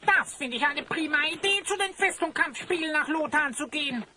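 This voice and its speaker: An actor voicing a cheery, eager little elf goblin with a squeaky voice in an audio drama. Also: animated, fairly quick, pushed and bright, slight background noise, exaggerated voice. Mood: cheerful, eager. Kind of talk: German audio drama acting.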